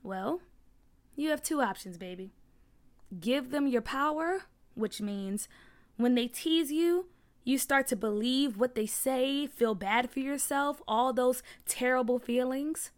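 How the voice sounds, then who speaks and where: A woman voicing a firm, nurturing mother in a storybook, speaking in a measured, reassuring manner. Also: high voice. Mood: firm, encouraging.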